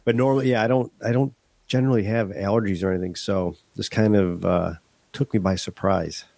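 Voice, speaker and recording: A man's voice: lowish voice, steady tone, brisk, gravelly, darkish tone. A middle-aged man from Western New York, stuffed up from a head cold, chatting candidly as a podcast guest with many restarts. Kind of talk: casual interview chat.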